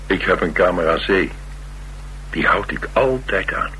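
An actor playing a cocky, wisecracking Dutch loner in a radio play, with flippant, colloquial banter. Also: male voice, deep voice, lively intonation, a little slow, sharp tone, a little hiss or hum, full character voice, low-quality recording. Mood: wry, boastful. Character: cocky, restless, wisecracking male loner on the run. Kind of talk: naturalistic radio play dialogue.

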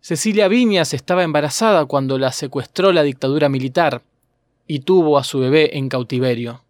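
A man's voice: fairly high pitch, brisk, dry recording. A man narrating a scripted documentary podcast. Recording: dry studio voice over piano music. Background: piano music.

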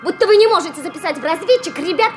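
A woman's voice: very high voice, animated, very fast, over music. An actress in a radio play voicing an imperious, cheeky schoolgirl who gives emphatic orders to grown-ups. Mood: bossy, commanding. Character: bossy, imperious schoolgirl giving orders to adults. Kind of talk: theatrical radio drama acting.

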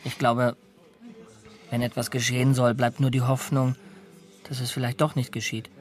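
A man's voice: medium pitch, flat delivery, normal speed, close to an everyday voice. An actor playing a brooding, anxious, dreamy male drifter in a radio drama, speaking in an earnest, introspective way. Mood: brooding, intense.

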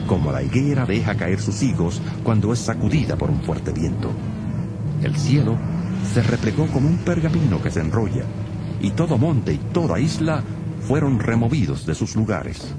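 A man's voice: fairly low pitch, heavy background noise. A man narrating dramatized scripture in a solemn, dramatic manner. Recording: loud music behind.

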